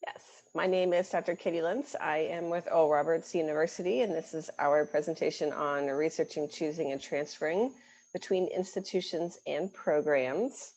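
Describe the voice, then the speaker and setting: A woman's voice: low voice, steady tone, normal speed, low-quality recording. A woman from the US, an international admissions representative, presenting a webinar in an encouraging way with some "um"s. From United States. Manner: warm, encouraging, with frequent "um"s.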